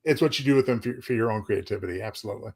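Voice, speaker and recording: A man's voice: lowish voice, racing. A man co-hosting a casual chat show, talking in an agreeable, reflective way. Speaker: co-host.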